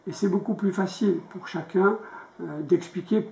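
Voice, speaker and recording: Gravelly woman in an echoey room. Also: medium pitch, steady tone, moderate pace, warm tone. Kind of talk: interview explanation. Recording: echoey room, distant mic, faint noise.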